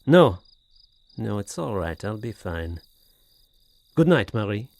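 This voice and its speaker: An actor playing a tormented, anxious French gentleman in an audio horror drama, speaking formally with hesitant, brooding, theatrical delivery. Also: on the low side, sing-song, slow pace, creaky, dry recording, exaggerated voice. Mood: shaken reassurance. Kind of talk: audio horror drama acting, period literary diction.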